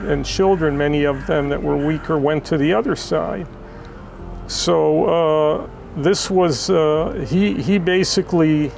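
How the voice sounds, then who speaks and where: A woman with an American accent giving emotional family testimony in an interview, tearful, telling it in a halting, emphatic way. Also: low voice, creaky, a little mellow. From born in Israel, raised in Los Angeles. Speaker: interview guest, child of Holocaust survivors.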